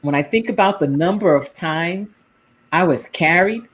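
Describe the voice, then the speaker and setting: A woman's voice: fairly low pitch, normal speed. A woman host with a New England accent chatting on a livestream and greeting viewers. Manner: warm chatty host reading viewer comments. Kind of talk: casual livestream talk show.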